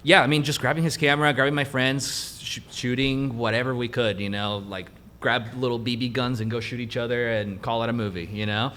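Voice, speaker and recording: A young man from Mexico, a native Spanish speaker, telling his career story as a guest in a casual interview, animated and laughing easily. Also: mid-range voice, fairly quick, few pauses, a little hiss or hum. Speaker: guest cinematographer.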